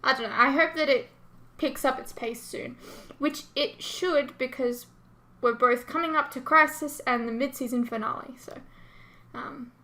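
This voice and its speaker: A woman's voice: sits high, moderate pace, sharp tone. An Australian woman chatting casually to her viewers as a reaction host, informal, rambling and self-deprecating as she reads and answers comments.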